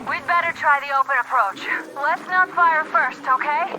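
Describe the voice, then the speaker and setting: A woman's voice: sits high, moderate pace, over music, close to an everyday voice, low-quality recording. An actress playing an alert, cautious young woman scout in a video game. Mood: alert, urgent.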